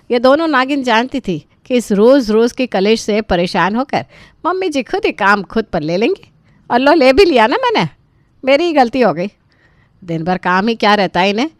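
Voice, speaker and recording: A woman's voice: fairly high pitch, very animated, normal speed, no echo. A woman voicing a weary elderly mother-in-law in a cartoon story, grumbling with dramatic self-pity. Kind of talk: animated moral story dialogue.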